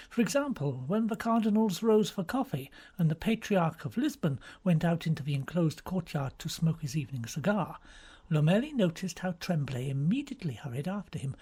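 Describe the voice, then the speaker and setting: A man reading a literary novel as an audiobook, in scripted narration with a husky, breathy voice. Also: a little high, a little mellow. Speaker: audiobook reader.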